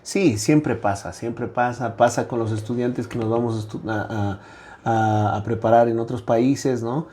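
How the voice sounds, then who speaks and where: A man's voice: mid-range voice, steady tone, unhurried, mellow, slight background noise. A middle-aged Mexican man with a Mexican accent, speaking as a guest academic in a conversational interview, reflective, with frequent "eh" fillers and a habitual "¿no?".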